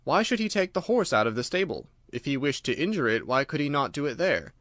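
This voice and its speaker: A man voicing a keen, logical, confident gentleman detective in an audiobook, with an articulate, measured, argumentative delivery. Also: fairly high pitch, no room sound, clean studio sound. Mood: challenging. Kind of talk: audiobook character dialogue.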